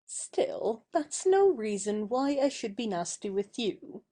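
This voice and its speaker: A woman's voice: a little high, sing-song, slow pace, mellow, exaggerated voice. A woman playing a proud, impulsive young society woman with a theatrical, changeable manner in an audio stage play. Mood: contrite.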